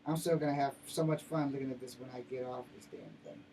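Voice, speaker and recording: A man's voice: mid-range voice, steady tone, quick pace, dark tone. An American man in his fifties chatting casually to viewers in a rambling, profane, slangy way. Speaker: host of his own vlog. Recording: noisy, distant-sounding mic with room ambience.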